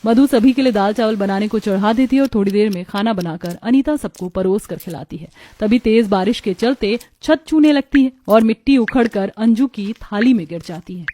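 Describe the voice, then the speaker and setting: A woman's voice: medium pitch, moderate pace, hardly any pauses, warm tone, a little hiss or hum. A woman reading scripted narration for a story.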